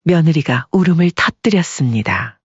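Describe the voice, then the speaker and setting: Husky woman narrating a story. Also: deep voice, expressive, normal speed, a little mellow, no room sound. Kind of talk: scripted dramatic audiobook narration. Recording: dull lo-fi audio.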